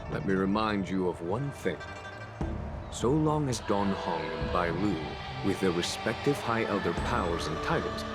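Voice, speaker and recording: A calm, scheming general in a fantasy video game. The voice sits very low and stays smooth and knowing, never rushed. Loud orchestral game music plays behind him. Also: male voice. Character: calm, cunning adult male strategist general. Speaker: game character. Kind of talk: video game cutscene dialogue.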